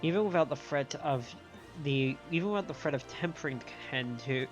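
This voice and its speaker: A man hosting a game stream, reading story dialogue aloud in a measured way with small stumbles and adding his own commentary. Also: medium pitch. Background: game music.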